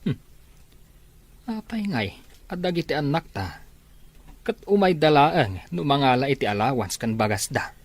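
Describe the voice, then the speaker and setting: A man's voice: mid-range voice, big pitch swings, moderate pace, pauses often, dry recording. A middle-aged man with an Ilocano accent playing a stern, weary farmer father in an amateur family drama, scolding in exasperation.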